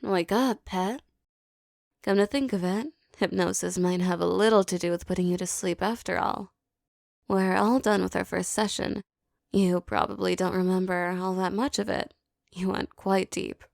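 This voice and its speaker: A woman performing a scripted hypnosis roleplay, speaking softly and commandingly with a teasing edge. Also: lowish voice, fairly even intonation, creaky, no room sound, studio quality. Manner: slow, soft, commanding and teasing.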